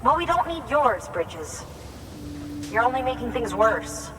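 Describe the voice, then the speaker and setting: A woman's voice: a little high, very animated, unhurried. An actress playing a stern, defiant woman in charge of a city, curt and sarcastic, in a dramatic video game scene. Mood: dismissive. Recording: roomy long reverb, distant, faint noise.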